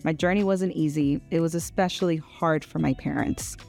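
A woman's voice: low voice, normal speed, gravelly, a little mellow, faint music behind. A woman with a Mexican Spanish accent, whose first language is Spanish, giving a scripted introduction as a clinical social worker and mixing in Spanish words.

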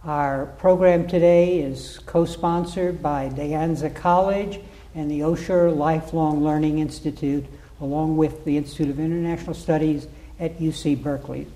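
A man's voice: a little high, warm tone. A man hosting an interview program, giving a formal introduction and then asking questions. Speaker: interview host.

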